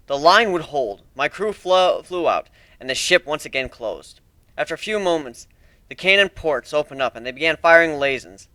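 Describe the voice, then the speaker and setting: Man, slightly high bright voice, dry recording, expressive fantasy story narration, occasional stumbles. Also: no echo. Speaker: amateur story reader. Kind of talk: dramatic reading of first-person fantasy fan fiction.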